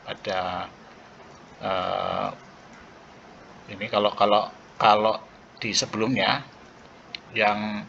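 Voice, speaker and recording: Male accounting lecturer, bright edge to a lowish voice, halting step-by-step explanation, dull recording with faint noise. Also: level tone, gravelly. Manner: halting, with pauses mid-phrase. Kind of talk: explanatory lecture walking through worked journal entries.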